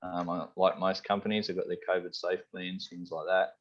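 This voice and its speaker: A man's voice: low voice, normal speed. A man from Sydney with an Australian accent, a head chef chatting casually in an interview, rambling with lots of "you know" and "um". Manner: rambling, relaxed, many fillers like "you know" and "um". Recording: slightly dull lo-fi audio.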